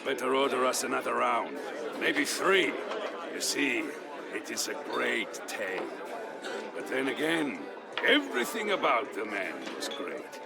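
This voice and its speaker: An actor playing a boisterous tavern storyteller who narrates a medieval tale in a historical game, dramatic and relishing, with weighty pauses. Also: male voice, mid-range voice, vocal fry. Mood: jovial, teasing. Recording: music behind the voice. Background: music.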